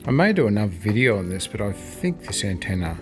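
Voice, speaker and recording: A man's voice: on the low side, very animated, fast talker, over music. A man giving a casual hands-on product review in a plain-spoken, matter-of-fact way.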